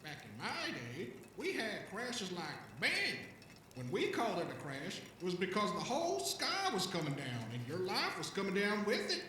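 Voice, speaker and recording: A man playing an excitable, folksy old alien vagrant in an audio drama, rambling and stammering in a rough, coarse voice. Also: sits high, normal speed, very echoey, far from the mic, a little hiss or hum, heavily acted. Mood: eager, animated. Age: older. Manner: folksy, rambling, stammering, talks of himself in third person. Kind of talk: audio drama character acting.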